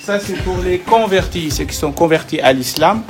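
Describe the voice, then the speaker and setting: A man's voice: high voice, animated, moderate pace, roomy sound, music in the background. A man from Senegal, an imam, guiding visitors around his centre and explaining, with many fillers. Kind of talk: guided tour explanation.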